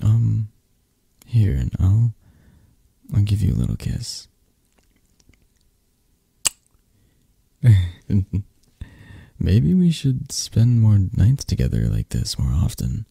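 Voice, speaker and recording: Man playing a flirty, possessive mafia boss, dark breathy voice, slow soothing murmur, intimate ASMR roleplay. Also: big pitch swings, dry recording, full character voice. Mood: affectionate, bashful. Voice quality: low, breathy, gravelly. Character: possessive, flirtatious male mafia boss comforting his lover.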